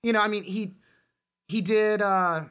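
A man's voice: high-pitched, fairly even intonation, measured pace, fairly bright tone, clean tone. A man co-hosting a podcast, chatting casually and animatedly, sometimes slipping into mimicry. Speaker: podcast co-host. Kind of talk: casual film and comics discussion.

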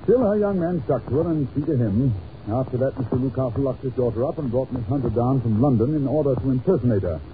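An actor playing a commanding, clever detective in an old-time radio drama, with a clipped, authoritative manner. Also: male voice, mid-range voice, lightly acted. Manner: clipped, authoritative, dryly dismissive. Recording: very muffled old radio recording, heavy noise.

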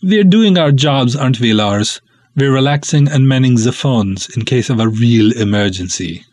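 A man's voice: mid-range voice, lively intonation, moderate pace, darkish tone, no echo, close to an everyday voice. A man voicing lazy, mocking cops in a comic serial. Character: sneering, lazy Danish male cop duo, mocking.

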